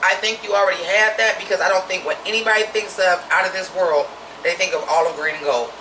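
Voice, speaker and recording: A woman with a naturally deep voice giving a blunt, profane, opinionated drag review in a casual vlog. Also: steady tone, quick pace, roomy sound, recorded from a distance, noisy background.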